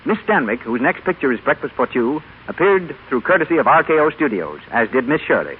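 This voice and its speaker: A man's voice: medium pitch, big pitch swings, a little fast. A man working as a radio announcer, reading formal scripted credits in a 1930s style. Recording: tinny, noisy 1930s radio broadcast.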